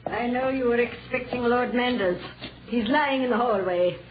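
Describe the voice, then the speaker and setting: Actress as menacing villainess, icy, controlled, formal diction, 1940s radio drama, phone-like sound, light hiss. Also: female voice, on the high side, moderate pace, lightly acted. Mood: coldly menacing. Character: cold, menacing English villainess. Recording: tinny, faintly noisy 1940s radio recording.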